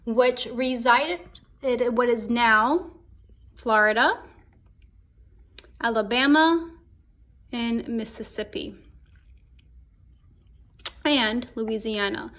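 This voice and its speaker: A woman teacher reading a folktale aloud to children in a deliberate, expressive storytelling way. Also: fairly high pitch.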